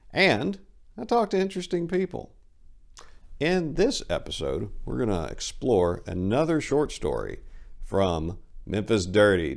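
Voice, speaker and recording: A man with a very deep voice hosts and narrates dramatic readings. His pitch moves widely as he goes, and his delivery is theatrical and emphatic. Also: vocal fry, darkish tone. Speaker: channel host, author reading stories. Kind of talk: scripted introduction and dramatic narration.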